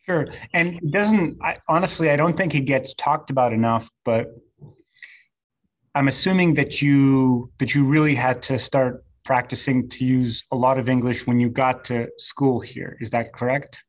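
A man's voice: mid-range voice, fairly even intonation, moderate pace. A man whose first language is Serbo-Croatian, interviewing a guest in a chatty way with lots of fillers. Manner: friendly, chatty, many fillers. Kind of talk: casual interview.

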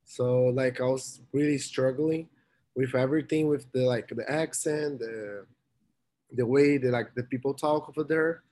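A young man from Brazil with a Brazilian Portuguese accent, speaking halting English as an interview guest. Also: mid-range voice, fairly even intonation, darkish tone. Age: young adult. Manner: halting, searching for words. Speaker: interviewee, college swimmer. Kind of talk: casual interview talk.

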